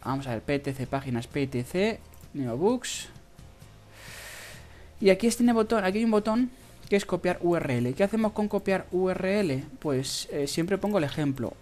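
A tutorial host speaks with a Spain Spanish accent in a casual screen-recording style. The voice sits at a middling pitch and moves haltingly, often pausing and stretching out hesitations. Faint music plays behind the talk. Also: animated, a little mellow. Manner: halting, hesitant delivery with drawn-out pauses. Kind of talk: casual screen-recorded tutorial about earning money online. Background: background music.

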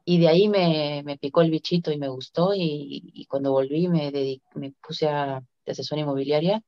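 A woman's voice: low voice, steady tone, moderate pace. A middle-aged woman from Latin America chatting informally as an interview guest. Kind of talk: casual conversational interview. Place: indoor room, on a video call. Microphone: video call device microphone. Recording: dull, lo-fi video call audio.

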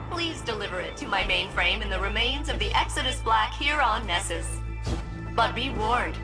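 An unstable female spaceship computer from a video game, acted out by a woman. She has a high, animated voice that flips between prim cheer and sullen sarcasm. Loud music and game effects play behind her. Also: natural voice, poor audio. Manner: swings between perky formality and dry sarcasm. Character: unhinged female ship AI, chirpy turning sullen and sarcastic.

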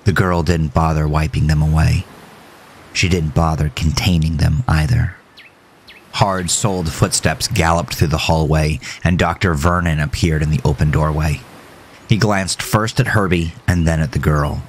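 A man narrating a scripted horror story in a measured, solemn way. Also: extremely low pitch, steady tone, slight background noise.

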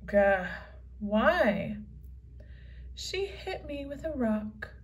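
A woman's voice: on the high side, big pitch swings, very slow, heavily acted. Woman reading as a snooty mean girl. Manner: snide and dismissive. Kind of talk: children's comic read-aloud.

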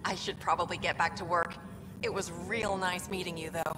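A woman's voice: lowish voice, fairly even intonation, normal speed, noisy background, natural voice. Woman playing a chatty young station worker. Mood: eager. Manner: eager, chatty. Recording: long reverb, music behind.

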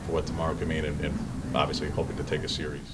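Low-voiced reporter guy far from the mic, noisy room, rambly questions. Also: monotone, racing. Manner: long rambling questions with restarts. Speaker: sports reporter. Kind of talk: press conference questions. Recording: distant voice with room noise.

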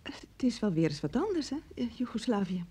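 Husky, searching wife. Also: female voice, fairly high pitch, very animated, brisk, mellow, heavily acted. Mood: probing, subdued. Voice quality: husky, breathy. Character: wife in a strained ten-year marriage, searching, quietly reproachful. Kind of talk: Dutch radio drama acting.

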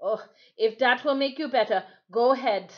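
A woman voicing a big, gentle, protective old sailor in a storybook, with emphatic, drawn-out dialect. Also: on the high side, lo-fi sound, pitched above the performer's own voice, close to an everyday voice. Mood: gentle, soothing.

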